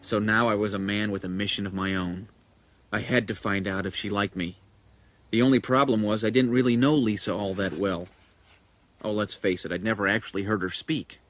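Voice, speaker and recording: A man's voice: on the low side, steady tone, fairly quick, natural voice. An American actor playing a grown man who narrates memories of his boyhood crush in a wry, nostalgic, dramatic way. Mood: earnest, wry. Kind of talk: scripted first-person narration.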